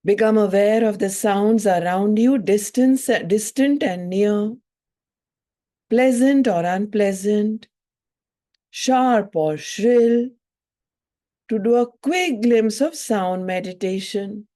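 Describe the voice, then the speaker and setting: A woman with a soft-edged, mellow voice of medium pitch guides a meditation as a yoga teacher. She speaks very slowly in short, soothing phrases, leaving generous pauses between instructions.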